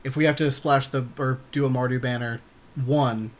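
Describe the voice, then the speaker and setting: A man's voice: medium pitch, fairly even intonation, normal speed. A man co-hosting a podcast, chatting informally and agreeably while playing a card game. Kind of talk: casual chat while drafting cards.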